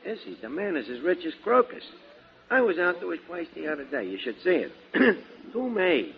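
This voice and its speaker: An actor playing a brash, streetwise schemer in 1940s radio comedy, with mangled grammar and stumbling hesitations, on a dull old recording. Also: male voice, a little high, very animated, normal speed, creaky. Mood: brash, boastful. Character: brash, malapropism-prone male schemer and wise guy.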